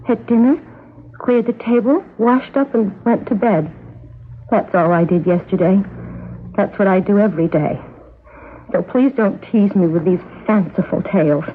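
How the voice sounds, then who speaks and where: An actress in an old-time radio drama playing a prim, touchy young daughter who speaks with indignant, insistent emphasis. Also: female voice, mid-range voice, husky, lightly acted. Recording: muffled noisy vintage radio recording.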